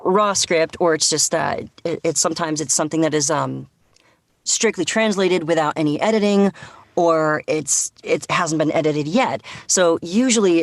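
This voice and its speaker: A woman voice actor chatting casually and excitedly on a panel, slipping into quoted reactions in an informal way. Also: low voice, no echo, clean studio sound. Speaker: voice actor and casting helper.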